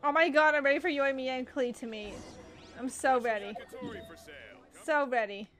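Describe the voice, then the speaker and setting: A young woman streamer reacts to a game with excitable, gushing asides in a high voice. Her comments are quick and bubbly. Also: soft background music.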